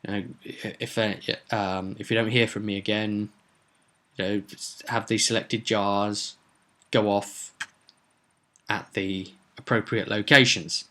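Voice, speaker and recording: A man casually recounting a tabletop roleplay session as game master, in halting, informal storytelling. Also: lowish voice, little pitch movement, sharp tone. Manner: halting, informal storytelling, voices characters' dialogue in passing.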